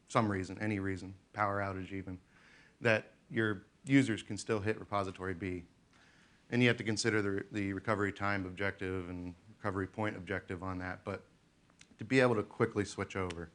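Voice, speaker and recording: Man with a low voice presenting. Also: a bit bright. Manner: loose, with many "um"s and restarts. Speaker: conference presenter. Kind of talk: technical conference talk. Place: conference room.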